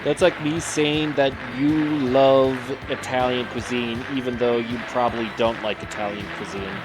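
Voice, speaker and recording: A man's voice: medium pitch, level tone, normal speed, rough recording. A man with a Minnesotan accent giving casual game commentary with friends, joking and teasing as he rambles. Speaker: stream commentator. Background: game music.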